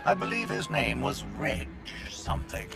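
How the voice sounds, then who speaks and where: A man playing a slow, threatening enforcer with a deep gravelly voice in a video game. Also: wildly swooping pitch. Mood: cold menace. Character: menacing male enforcer hunting fugitives. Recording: loud music behind voice.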